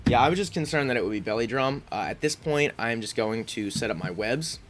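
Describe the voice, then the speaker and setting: A man's voice: medium pitch, expressive, brisk. Congested man thinking aloud over a game. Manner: thinks aloud, frequent ums and restarts. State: congested, sniffling. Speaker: gaming YouTuber. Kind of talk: casual gameplay commentary.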